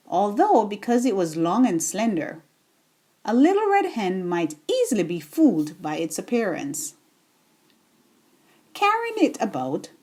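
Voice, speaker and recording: A woman reading a children's bedtime story aloud in a deliberate, expressive storytelling manner. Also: mid-range voice.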